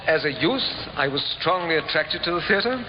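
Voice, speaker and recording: An actor playing a courteous, shrewd police inspector in a 1950s radio drama, probing in a formal, polite way. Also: male voice, fairly high pitch, expressive, moderate pace, noisy background, close to an everyday voice. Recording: muffled, echoing old radio recording.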